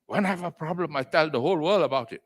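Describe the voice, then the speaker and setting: An older man with a Malaysian accent speaks with a loud, emphatic delivery. His pitch swings widely from low murmurs to sudden high exclamations, and he drops Malay words into his English. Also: expressive, rapid-fire. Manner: mixes in Malay words and phrases. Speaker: entrepreneur and executive chairman, interview guest. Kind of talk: interview over video call.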